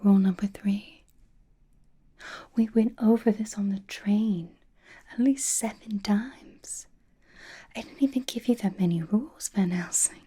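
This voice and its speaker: A woman's voice: medium pitch, a little slow, pauses often, warm tone. A woman voicing a possessive, flirtatious vampire in an intimate, teasing whispered ASMR roleplay, with dry exasperation. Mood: mildly exasperated. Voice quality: breathy whisper. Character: possessive, flirtatious vampire doting on captive vampire hunter.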